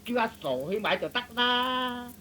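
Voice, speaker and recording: A man's voice: very high-pitched, expressive, a little slow, pushed and bright, soft background music, pitched above the performer's own voice, heavily acted. A man voicing a bossy, impatient shopkeeper's wife who nags and scolds in a Cantonese comic radio play. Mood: nagging. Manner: scolding, exasperated, naggy. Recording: noisy old radio recording.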